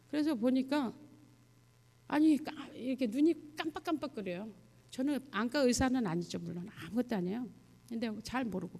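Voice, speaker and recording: High voiced lady missionary giving a church testimony, chatty n halting, lots of hall reverb. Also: warm tone. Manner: conversational, halting, puts questions to listeners. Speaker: missionary guest preacher. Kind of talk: testimony sermon, mission report to a congregation. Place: church sanctuary, addressing a congregation. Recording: long hall reverb, church sound system.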